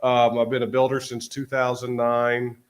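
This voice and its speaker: A man's voice: mid-range voice, completely flat delivery, moderate pace. A man from Texas giving a casual talk at a convention, with lots of fillers and restarts. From Texas, USA. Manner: chatty, many fillers and restarts. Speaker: convention speaker. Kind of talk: casual conference presentation. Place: convention hall stage.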